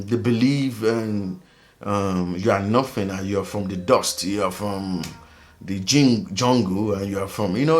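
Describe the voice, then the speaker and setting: A man from Africa hosting his own vlog, talking casually in a rambling, animated way with many fillers. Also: mid-range voice. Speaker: vlogger host.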